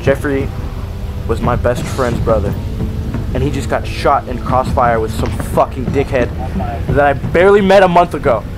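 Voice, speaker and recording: A man's voice: mid-range voice, normal speed, lightly acted, poor audio. A man playing a hot-tempered, grief-stricken survivor in an improvised zombie roleplay skit, swearing and repeating himself as he argues. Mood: shocked, grieving. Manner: profane, argumentative, repeats himself.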